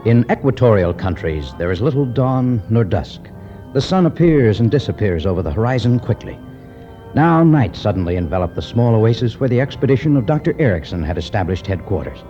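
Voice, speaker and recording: A man announces and narrates in vintage radio style. His low voice moves dramatically up and down. Music plays behind him on a dull old recording. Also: sing-song, brisk, dark tone. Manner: dramatic, declamatory. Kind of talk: 1950s radio scripted narration.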